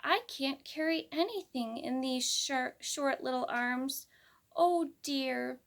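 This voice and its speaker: A woman's voice: slow pace, vocal fry, a bit bright, exaggerated voice. A woman doing a weepy mother kangaroo. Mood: tearful. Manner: pleading and high-strung. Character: tearful, anxious mother kangaroo.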